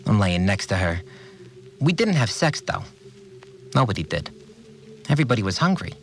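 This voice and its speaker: An actor playing an anxious, obsessive cleaner who fretfully recounts events in short, plain sentences in an audio drama monologue. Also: male voice, medium pitch. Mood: uneasy, restless. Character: anxious, obsessive male cleaner confined in a mysterious place. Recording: music bed under the voice.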